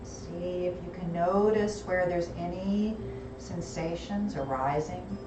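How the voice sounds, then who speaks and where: A woman teaching a gentle yoga class, calmly cueing breath and movement, her voice a little gravelly and hoarse. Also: lowish voice, slow pace. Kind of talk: gentle guided yoga instruction. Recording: roomy, distant, music behind.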